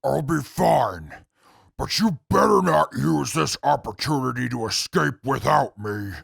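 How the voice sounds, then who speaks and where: Man playing a very gravelly, haughty prisoner. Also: medium pitch, animated, measured pace, a bit bright, dry recording, studio quality, full character voice. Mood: curt, determined. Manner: formal, haughty, lecturing. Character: stern, haughty male prisoner, proud and scornful. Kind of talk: post-apocalyptic audio drama acting.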